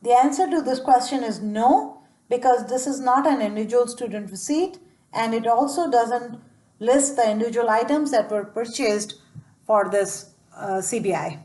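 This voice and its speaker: A woman's voice: fairly high pitch, normal speed, mellow. A woman presenter reading a scripted training voice-over in a matter-of-fact, instructional way.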